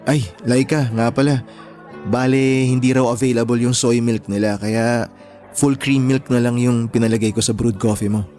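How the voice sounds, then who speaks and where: Attentive young Filipino guy, mid-pitched, acted by man. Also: steady tone, moderate pace, warm tone, soft background music, natural voice. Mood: easygoing. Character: Justin, attentive, insistent young man courting his friend. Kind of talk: Filipino radio drama dialogue.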